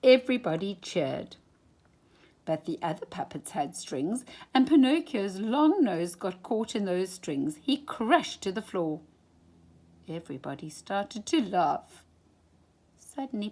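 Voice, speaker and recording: A woman storyteller reading a children's storybook expressively, with deliberate, dramatic emphasis. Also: medium pitch, animated, a little mellow.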